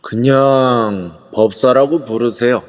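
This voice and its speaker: A man playing a composed male exorcist who speaks slowly and formally in an amateur audio drama. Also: medium pitch, natural voice. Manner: slow, measured and formal. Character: calm middle-aged male exorcist in hanbok. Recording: reverb-heavy online audio.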